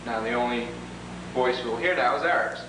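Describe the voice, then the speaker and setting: A man narrating an instructional software demonstration, explaining each step in order. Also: medium pitch, moderate pace. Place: reverberant indoor room, away from mic. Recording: very echoey, distant, noisy 1980s tape.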